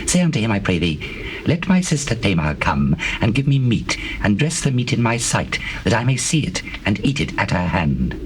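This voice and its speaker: A man's voice: fairly low pitch, very animated, moderate pace. A man playing a subtle, scheming courtier in a Bible drama, with persuasive theatrical delivery of archaic lines. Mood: sly coaxing. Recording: faintly noisy old cassette tape.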